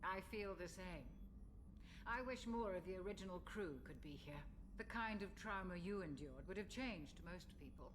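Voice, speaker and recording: Actress doing gentle lady ship doctor in a game, lowish voice, composed and proper, noisy background. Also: natural voice. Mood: fond. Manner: measured, gentle, formal. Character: kindly, composed female ship's doctor, old colleague. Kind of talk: video game voice acting.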